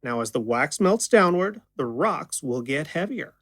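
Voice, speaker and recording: A man's voice: fairly high pitch, sing-song, unhurried, a bit bright, no room sound, full character voice. A man playing a chatty, unflappable stage magician in an audio drama, with wry conversational patter. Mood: showman patter.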